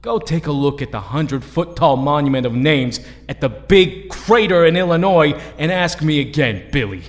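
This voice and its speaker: An actor plays a blunt, resolute young ex-hero with a fairly high male voice. He is earnest and embittered, ringing in a long hall reverb. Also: lively intonation, normal speed, lightly acted. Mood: bitter. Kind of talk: audio drama acting.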